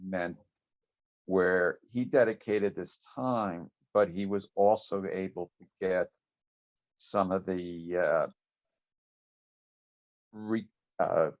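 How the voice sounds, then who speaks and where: A man reminiscing casually on a group video call, speaking in halting, hesitant phrases. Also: on the low side. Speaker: longtime convention committee member. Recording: dull lo-fi video call audio.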